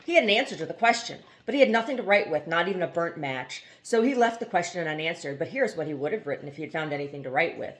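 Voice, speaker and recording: A woman's voice: lowish voice, animated, fast, few pauses. A woman reading a novel aloud as scripted narration, now and then stumbling over a word. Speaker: amateur audiobook reader.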